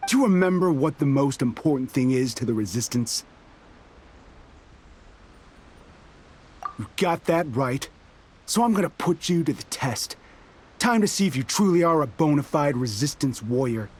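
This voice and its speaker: An actor playing a fiery, embittered resistance soldier in a video game, proud and defiant. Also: male voice, mid-range voice, expressive, moderate pace, a little mellow, a little hiss or hum, lightly acted. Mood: brash, challenging. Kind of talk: dramatic video game character acting.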